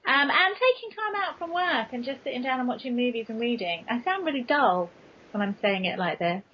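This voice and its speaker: A woman's voice: a little high, lively intonation, a little fast, breathy edge. An English woman chatting casually and frankly as an interview guest, self-deprecating in the way she talks. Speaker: guest author being interviewed. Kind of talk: casual chat. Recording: dull, lo-fi early podcast recording.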